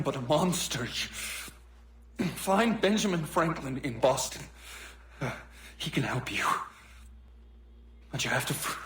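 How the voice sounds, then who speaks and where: A terrified captive officer, stammering his pleas in a game cutscene. His voice sits a little high and the room around it rings. Faint noise hangs behind him. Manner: stammering, frantic. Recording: roomy game cutscene audio.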